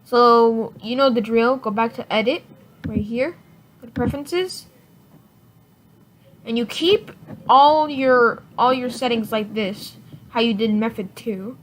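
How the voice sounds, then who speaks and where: A woman giving a casual, unscripted software tutorial in an informal, rambling way, often correcting herself. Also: a little high, slow, pauses often. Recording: noisy home recording with clicks.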